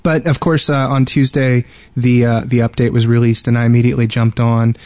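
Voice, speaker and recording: A man's voice: medium pitch, level tone, fairly quick, darkish tone, no echo. Joking man chatting, close dry sound. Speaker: podcast co-host. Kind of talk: casual chat.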